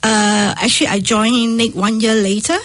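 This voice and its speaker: Brief: middle-aged woman from Malaysia, non-native English speaker, husky, dry close sound, hesitant guest. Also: a little high, steady tone, normal speed, fairly bright tone, no room sound. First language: not English. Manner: hesitant, with frequent fillers and restarts. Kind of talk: casual radio interview.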